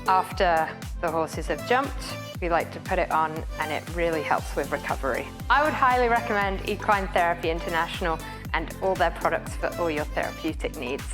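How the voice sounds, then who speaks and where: A woman's voice: lowish voice, a little fast. Woman giving a scripted ad testimonial over music. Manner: reads a script earnestly. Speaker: show jumper in a sponsor ad.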